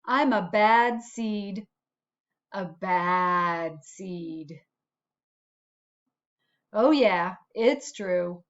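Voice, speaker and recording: A woman voicing a self-declared bad little sunflower seed in a storybook, confessing with deliberate dramatic phrasing. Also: medium pitch, muffled recording, pitched below the performer's own voice. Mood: brazen confessing.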